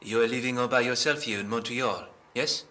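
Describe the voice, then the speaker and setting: A man playing a calm, courteous police officer in an amateur audio drama, asking questions in a measured, polite way. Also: medium pitch, close to an everyday voice. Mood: friendly, low-key.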